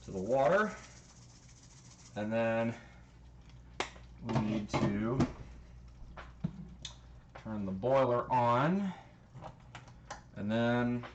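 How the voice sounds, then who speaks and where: A man's voice: on the low side, background noise. A man chatting loosely to his stream chat while he cooks in his kitchen, swearing now and then and counting numbers aloud. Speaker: streamer cooking on a livestream. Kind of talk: casual live cooking chat. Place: home kitchen, indoors. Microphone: handheld phone camera.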